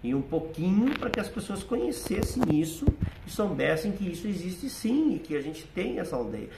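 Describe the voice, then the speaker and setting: A man's voice: high voice, big pitch swings, moderate pace, vocal fry, very noisy. A middle-aged man with a Rio Grande do Sul accent touched by German settler speech, telling stories reflectively in an interview. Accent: Rio Grande do Sul Portuguese with a German-settler lilt. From southern Brazil. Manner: reflective, nostalgic storytelling with frequent "né". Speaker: guest, theater writer and actor. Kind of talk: casual conversational interview.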